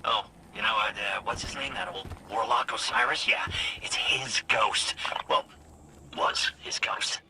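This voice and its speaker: An actor playing a nervy, shifty robot turncoat in a video game, stammering and evasive in slangy talk. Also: male voice, on the low side, measured pace, background noise. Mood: nervous, persuasive. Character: nervy, shifty, fast-talking turncoat robot companion, male.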